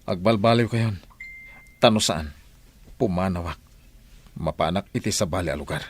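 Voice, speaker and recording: A man's voice: low-pitched, moderate pace, lightly acted. A man acting a stern, fed-up grown son in a radio drama, lecturing firmly with an Ilocano accent. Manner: firm, lecturing. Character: stern, fed-up grown son scolding his gambling mother.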